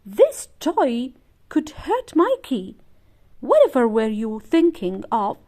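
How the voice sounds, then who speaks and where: A woman's voice: on the high side, huge pitch swings, takes it slowly, dark tone, exaggerated voice. A woman voicing a firm, caring mother of young children in a storybook, speaking emphatically. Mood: cross.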